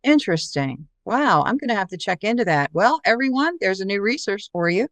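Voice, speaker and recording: A woman's voice: mid-range voice, very animated, fairly quick, fairly bright tone, no room sound. A woman hosting a conversational interview, responding in an encouraging, affirming way. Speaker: interview host.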